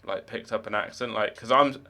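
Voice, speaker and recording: A man's voice: lowish voice, moderate pace, pushed and bright. Chatty young man, rambling casually. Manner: rambling, chatty, many fillers and restarts. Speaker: streamer. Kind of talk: casual live-stream chat.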